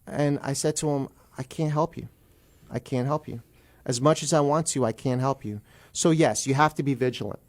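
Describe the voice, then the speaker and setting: A middle-aged man with a mid-pitched voice talks as a legal guest on a radio show. He explains procedure emphatically, checking that the listener follows. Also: normal speed.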